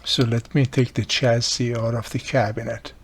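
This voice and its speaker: A man's voice: mid-range voice, level tone, normal speed, slight background noise. A man casually explaining a hands-on repair as he works, speaking unscripted with non-native-sounding grammar and often dropped articles. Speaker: radio repair hobbyist and host.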